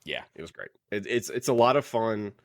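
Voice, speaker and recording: Low-voiced man chatting, close and dry. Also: big pitch swings, dry recording. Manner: rambling, halting, thinking aloud. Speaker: podcast host. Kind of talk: casual book club podcast chat.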